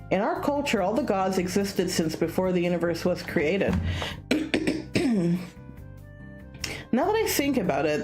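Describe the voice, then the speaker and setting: A woman's voice: fairly low pitch, a little mellow. A woman playing a curious, polite young male student in a visual novel, speaking in a hesitant, conversational way. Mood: musing.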